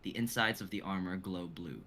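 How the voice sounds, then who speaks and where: A young man narrates a script live in a dramatic style. His voice is low yet bright and forceful, with faint noise behind it. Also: fairly quick. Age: young adult. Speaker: narrator reading stage directions.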